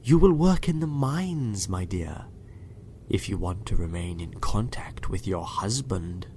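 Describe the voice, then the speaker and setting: A man's voice: deep voice, lively intonation, measured pace, darkish tone, slight background noise. A man playing a sinister doctor in an audio drama, politely menacing and condescending, sometimes murmuring. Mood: quiet threat.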